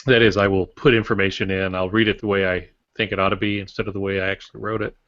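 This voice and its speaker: A man's voice: low voice, flat delivery, quick pace, fairly bright tone, dry recording. An older American man explaining things casually as a podcast co-host over a video call. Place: small indoor office with shelves. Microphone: video call, close to camera. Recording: dry, dull video-call audio.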